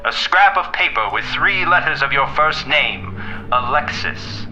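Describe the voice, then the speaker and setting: An actor playing a menacing, all-knowing crime fighter in 1950s radio mystery style, ominous and theatrical. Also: male voice, mid-range voice, big pitch swings, bright, forceful tone, dull audio, soft background music, heavily acted. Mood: accusing. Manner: slow, deliberate, ominous, theatrical.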